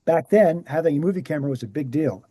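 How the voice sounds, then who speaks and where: A man playing an earnest researcher in a screenplay table read, explaining things in a measured, assured way. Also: on the high side, dark tone, no room sound, natural voice. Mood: matter-of-fact. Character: Gary, earnest male presenter, calm debunking researcher.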